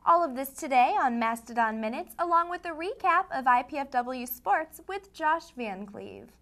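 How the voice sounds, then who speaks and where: A woman's voice: high voice, expressive, moderate pace. A young woman anchoring a student news show, reading scripted stories aloud. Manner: bright, read-aloud anchor cadence.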